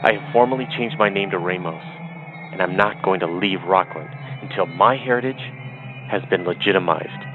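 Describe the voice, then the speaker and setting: A brash younger brother played by a male actor needles and defies in an audio soap opera. His voice is fairly low, thinned by a phone-like recording with faint music behind. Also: normal speed, close to an everyday voice. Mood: defiant, taunting. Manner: cocky, needling. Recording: dull, phone-like narrow sound.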